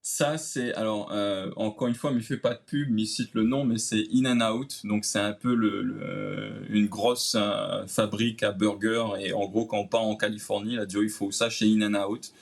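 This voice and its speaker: Gravelly Réunionese man with a low voice. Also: fairly even intonation, normal speed, hardly any pauses. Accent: Réunionese French. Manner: hesitant, many "euh" fillers, creole-tinged phrasing. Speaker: guest. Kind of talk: casual interview chat.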